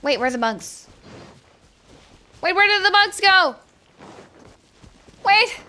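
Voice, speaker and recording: A woman's voice: very high-pitched, expressive, takes it slowly, sharp tone. A woman streamer giving casual gameplay commentary, playful and exclamatory, drawing out her words. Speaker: game streamer, VTuber.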